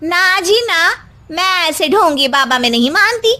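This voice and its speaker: A woman's voice: extremely high pitch, lively intonation, a little slow, pushed and bright, voice pushed up, full character voice. A woman with an Indian accent playing a defiant, sarcastic young daughter-in-law in a family story, taunting and theatrical. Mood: dismissive.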